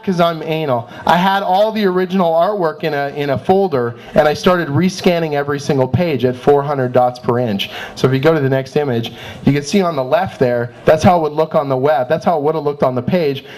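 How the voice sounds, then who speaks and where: A man giving an informal talk as an author and comic artist, candid, animated and self-deprecating. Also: a little high, fairly quick. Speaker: author and comic artist presenting his work. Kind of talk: informal live talk with slides. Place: indoor cafe event space. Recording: roomy, distant mic, faint background noise.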